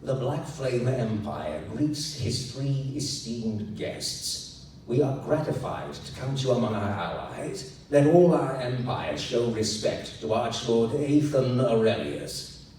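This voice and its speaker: A man voicing a majestic emperor, making slow, formal, booming proclamations to crowds in a fantasy audiobook. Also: big pitch swings, a little mellow, a little hiss or hum. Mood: proud, ceremonial. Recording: echoey hall, distant handheld recording.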